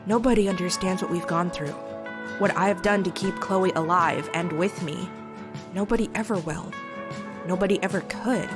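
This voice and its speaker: A woman's voice: fairly low pitch, moderate pace, close to an everyday voice. A woman playing a sardonic, fiercely loyal teenage girl reading her journal in an emotive comic dub. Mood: tearful resolve. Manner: wry, sarcastic, emotive. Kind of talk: dramatic first-person journal narration in comic dub. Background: soft guitar music.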